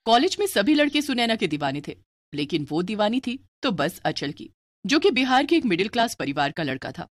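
A woman's voice: mid-range voice, very animated, fairly quick, no room sound, clean studio sound. A woman narrating a scripted family moral story.

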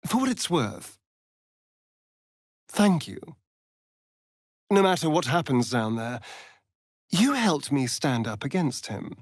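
A sly vampire rogue from a fantasy video game, vain and sardonic. He drawls slowly in an arch, flamboyant way, and his pitch swoops theatrically. The recording is dry and close. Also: big pitch swings, dry recording, exaggerated voice. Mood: subdued.

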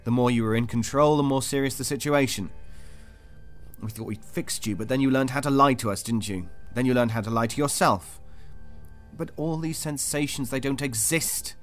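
An actor playing a calm, clinical and controlling interrogator in an audio drama, speaking in a measured, patronising way. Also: male voice, mid-range voice, soft background music, close to an everyday voice. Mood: probing.

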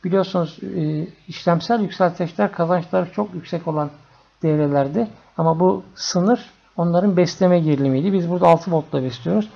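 A man narrating an informal electronics tutorial in an unhurried, matter-of-fact way. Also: fairly high pitch, gravelly, mellow. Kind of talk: informal explanatory screencast narration. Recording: dull, lo-fi screencast audio.

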